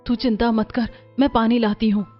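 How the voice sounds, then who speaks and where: A woman's voice: a little high, flat delivery, normal speed, dull audio, faint music behind, lightly acted. A woman voicing a dutiful, defensive young elder sister in a cartoon story. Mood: earnest, wary.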